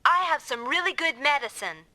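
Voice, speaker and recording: High, bright, pouty young girl. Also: expressive, normal speed, no room sound, exaggerated voice. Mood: softened, concerned. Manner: sulky, petulant. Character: pouty, touchy young girl riding along as passenger. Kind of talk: anime-style video game dub acting.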